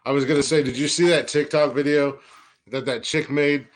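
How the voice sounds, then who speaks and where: A man's voice: fairly high pitch, fairly even intonation, normal speed. A man co-hosting a podcast, rambling in blunt, casual talk and swearing a lot. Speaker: podcast co-host. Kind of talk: casual profane banter.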